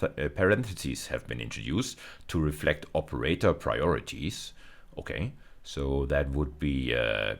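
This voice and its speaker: Male university lecturer, very low bass voice, halting explanatory delivery for technical teaching.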